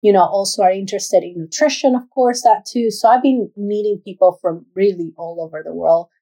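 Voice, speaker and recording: Female interview guest, native Spanish speaker with Ecuadorian accent, soft-edged warm timbre, mid pitch, informal answers. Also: fairly quick, husky, poor audio. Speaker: interview guest, executive director.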